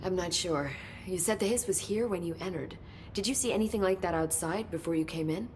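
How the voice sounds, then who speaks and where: Actress as female agency researcher, lowish pitch, earnest, explanatory, understated, video game cutscene, background rumble. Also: brisk, lightly acted. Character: earnest, knowledgeable female government agency researcher, briefing her director.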